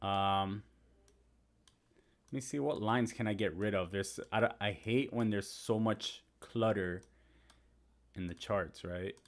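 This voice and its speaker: Low-voiced man chatting on a live stream. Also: takes it slowly, long pauses. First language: Spanish. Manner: chatty, reads and answers viewer comments; talks through trading charts. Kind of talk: casual live-stream chat.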